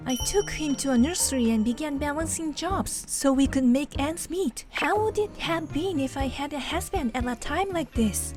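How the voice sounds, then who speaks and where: A woman's voice: sits high, lightly acted. A woman playing an earnest teenage single mother, narrating her own story in a dubbed manga drama. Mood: reflective. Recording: music behind the voice. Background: music.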